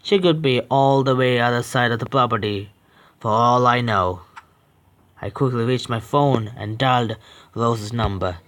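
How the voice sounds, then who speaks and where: A man's voice: mid-range voice, unhurried, a bit bright, a little hiss or hum. Young man stumbling through game text. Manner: stumbling reading, self-correcting with apologies. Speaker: gamer reading a visual novel aloud. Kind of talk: reading game text aloud with reactions.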